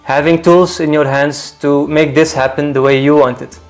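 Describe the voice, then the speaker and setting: A young man, a guest being interviewed, who explains his views in a measured, reasoned way and often asks rhetorical questions. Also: a little high, fairly even intonation, soft background music. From Iraq, raised in the Netherlands. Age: young adult. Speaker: guest, full-time volunteer. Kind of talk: calm explanatory interview talk.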